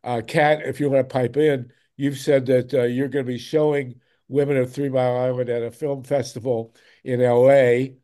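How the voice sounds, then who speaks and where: A man's voice: medium pitch, little pitch movement, normal speed, hardly any pauses, mellow. A man hosting a talk show over a video call, speaking emphatically and repeating words when something moves him. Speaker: host.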